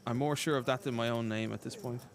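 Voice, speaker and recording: A man's voice: mid-range voice, steady tone, fast. An Irish-accented man giving casual poker commentary, telling anecdotes in a chatty, hesitant way. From Ireland.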